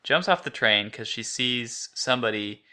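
A man's voice: mid-range voice, animated, normal speed, pushed and bright. A man co-hosting a podcast, recapping and discussing a story in an informal way. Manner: informal, rambling, frequent "like". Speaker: podcast co-host.

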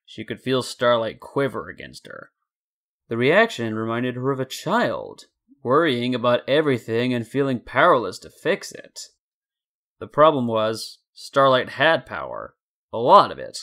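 A man's voice: mid-range voice, expressive, measured pace, a bit bright. A man narrating a scripted story in a dramatic reading style. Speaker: narrator and fan fiction reader.

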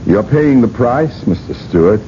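A man as a blunt, expressive radio-play doctor. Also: medium pitch, measured pace, loud music behind the voice, natural voice. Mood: frank, measured. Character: blunt, candid physician to a rich patient. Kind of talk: 1980s radio drama acting. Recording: muffled, hissy vintage radio broadcast.

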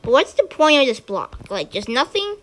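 Excitable high-voiced woman gamer. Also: normal speed, noisy background. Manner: excitable, chatty, with sudden high squeals. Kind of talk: casual gameplay commentary. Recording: game music behind. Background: game music.

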